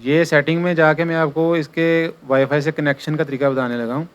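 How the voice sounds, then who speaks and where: A man with a clear, smooth voice talks through an informal how-to. He goes step by step like a working technician showing a job, and repeats his instructions as he goes. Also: on the high side, fairly even intonation, fairly quick, dull audio. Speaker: electrician giving installation tutorial. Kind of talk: informal hands-on tutorial narration.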